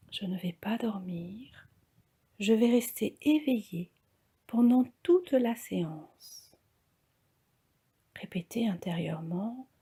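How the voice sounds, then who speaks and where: A woman leading a guided yoga relaxation as an instructor, speaking calmly and softly, often close to a whisper. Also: mid-range voice. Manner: calm, soft, breathy, often near-whispered delivery.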